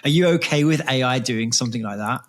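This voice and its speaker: A man's voice: mid-range voice, quick pace. A man talking casually as an interviewer and co-host.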